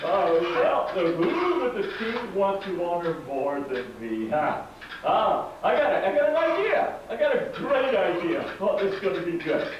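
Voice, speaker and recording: A distant, echo-heavy recording of a vain, scheming puppet courtier. He stammers and exclaims in a high, very animated voice, muttering to himself with comic bluster. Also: normal speed. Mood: gleeful, scheming. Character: vain, scheming male royal adviser puppet, blustering and flustered. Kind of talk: amateur comic puppet show acting. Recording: echoey hall from far off, dull, noisy.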